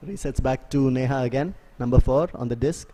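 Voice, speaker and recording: A man giving live play-by-play sports commentary in a measured, analytical way. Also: mid-range voice, warm tone, slight background noise. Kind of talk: live play-by-play commentary of an ultimate frisbee match.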